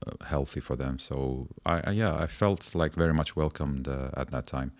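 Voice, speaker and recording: A man in his forties with an extremely low voice gives measured answers as a podcast guest. He talks in short, listed phrases with small pauses between them. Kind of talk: conversational interview answers.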